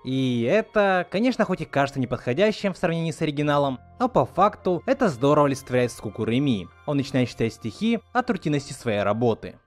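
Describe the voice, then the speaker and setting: A man's voice: a little high, big pitch swings, fast, hardly any pauses, soft background music. A man hosting a video series, reading a scripted video essay. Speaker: host of a video series on film dubbing.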